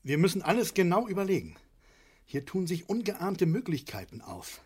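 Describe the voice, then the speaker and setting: A man's voice: high voice, sing-song, normal speed, a little mellow, husky, exaggerated voice. An actor playing a pompous, money-hungry middle-aged father in a satirical radio play, blustering and argumentative. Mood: grandiose scheming. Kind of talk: German radio play acting, satirical comedy.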